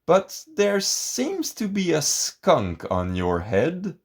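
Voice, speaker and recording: Male reader as nervous, proper storybook gentleman, fussy and exclamatory, with swooping intonation. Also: fairly high pitch, wildly swooping pitch, takes it slowly, slightly rough, pitched above the performer's own voice, exaggerated voice. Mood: hesitant, polite. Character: nervous, proper man pestered by a skunk.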